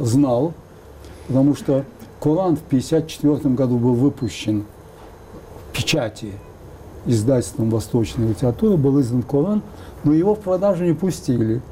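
An older man from Baku, raised speaking both Azerbaijani and Russian, thoughtfully recalling the past in an interview with many pauses and fillers. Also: medium pitch, dark tone, a little hiss or hum, rough recording. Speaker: interview guest. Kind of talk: reflective interview talk.

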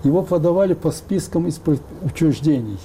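Older man with a very dark, mellow voice. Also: fairly high pitch, lively intonation, brisk, slight background noise. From Azerbaijan (Baku). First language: Azerbaijani and Russian. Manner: meandering, thoughtful, many fillers. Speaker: interview guest. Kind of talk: reflective interview talk.

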